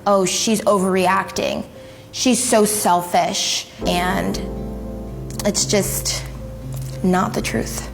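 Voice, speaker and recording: An eighteen-year-old woman speaking softly and hesitantly, searching for her words in a candid interview. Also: mid-range voice, fairly even intonation, very slow, vocal fry, long pauses, over music. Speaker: interviewee, suicide attempt survivor.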